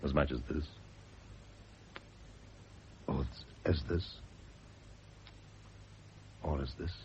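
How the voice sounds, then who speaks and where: An actor playing a brooding, philosophical, self-absorbed husband in a vintage radio drama, speaking in a literary, declamatory way. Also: male voice, extremely low pitch, fairly even intonation, slow pace, a little mellow. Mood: ardent, musing. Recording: dull, hissy vintage radio recording.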